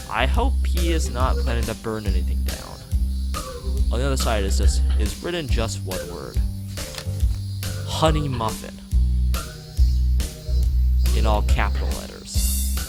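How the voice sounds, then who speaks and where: A man reading a visual novel aloud as a let's player, adding commentary and often stumbling and rereading lines. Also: mid-range voice.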